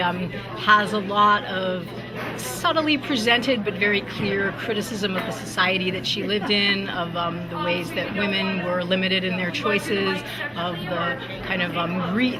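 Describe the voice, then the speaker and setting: Middle-aged woman author in an echoey hall. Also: medium pitch. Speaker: author giving a book talk. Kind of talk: public talk and interview. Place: large library meeting hall. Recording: roomy hall, slightly distant, audience noise. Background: audience chatter.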